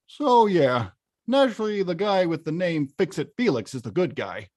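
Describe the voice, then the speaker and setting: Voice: mid-range voice, very animated, moderate pace, darkish tone. An actor playing a huge, hot-tempered, weary arcade-game villain who confides wryly and grumbles conversationally in a table read. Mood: begrudging, boastful. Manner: conversational, grumbling, bursts into bellows. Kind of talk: table-read acting, confessional voice-over.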